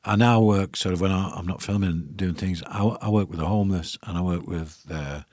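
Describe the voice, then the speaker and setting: A man's voice: deep voice, brisk, darkish tone, no echo. A man, chatting casually as an actor guest, with frequent restarts. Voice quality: gravelly. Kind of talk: casual interview chat.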